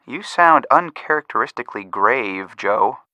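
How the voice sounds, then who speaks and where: A very crisp-edged, bright young American man. His pitch moves in a lively way as he hands out hints, wry and conversational. The sound is dry, with no room on it. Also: a little slow, no echo. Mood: wry, helpful. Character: upbeat young male amateur detective giving helpful hints. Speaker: detective helper giving hints. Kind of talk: scripted video game voice acting.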